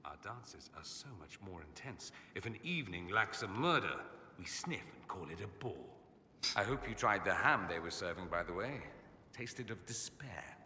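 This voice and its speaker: A man's voice: low voice, expressive, moderate pace, pushed and bright, a little hiss or hum, exaggerated voice. An actor playing a witty, theatrical mage nobleman in a video game, with an arch, refined, droll manner. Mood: droll, playful. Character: witty, urbane, theatrical mage nobleman, wistful about homeland. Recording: long reverberant hall echo.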